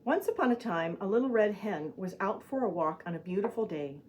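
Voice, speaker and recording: A woman's voice: mid-range voice, animated, fairly quick, background noise. Puppet-show narrator: woman, mid-range voice, scripted storybook delivery for young children.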